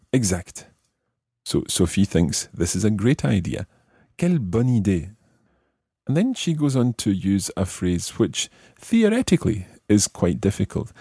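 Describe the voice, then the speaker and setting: Low-voiced man teaching, slightly husky. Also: warm tone, no room sound, clean studio sound. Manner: deliberate, repeats phrases word by word. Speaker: language teacher and podcast host. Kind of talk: explanatory language lesson narration.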